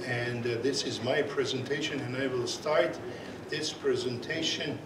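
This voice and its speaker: A man with a Serbian accent, a native Serbian speaker, chairing and opening a conference session, halting with many fillers and restarts, on a portable mic. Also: mid-range voice, fairly even intonation. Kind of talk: conference presentation. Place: conference hall. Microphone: portable microphone. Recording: echoey hall, from far off, noisy.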